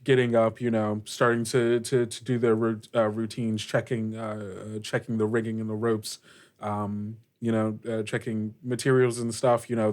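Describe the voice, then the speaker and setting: A man runs a tabletop game as its narrator, building the scene off the cuff. His delivery is slow and conversational, with frequent hesitations, in a mid-pitched voice. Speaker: game master.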